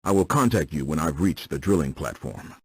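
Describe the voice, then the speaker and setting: A man's voice: very deep voice, lively intonation, brisk, husky, dry recording, heavily acted. A man voicing a resolute, serious Jedi warrior in a video game cutscene. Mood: grave, uneasy.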